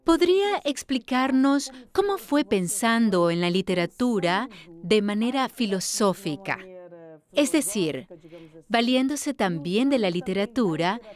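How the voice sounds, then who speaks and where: A woman's voice: fairly high pitch, expressive, a little slow. A woman voice actor dubbing an attentive, literate interviewer who asks and sums up in an orderly, articulate way. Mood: attentive, explanatory. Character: attentive, literate interviewer summing up a guest's life. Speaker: dubbed interviewer. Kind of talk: scripted documentary interview dub.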